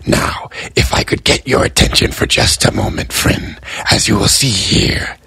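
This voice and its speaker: A man playing an eager, scheming male tally keeper who talks in a breathy, half-whispered way. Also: on the low side, huge pitch swings, moderate pace, sharp tone, no echo, voice pushed up, full character voice. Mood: scheming, businesslike. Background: music.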